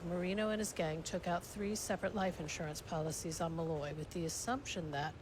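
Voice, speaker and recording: A woman's voice: lowish voice, a little fast, noisy background. A woman reading scripted, documentary-style narration for a radio play. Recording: music behind the narration.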